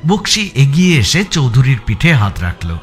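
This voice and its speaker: A man narrating a suspense audio story in the first person, with expressive, dramatic delivery. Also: mid-range voice, normal speed. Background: background music.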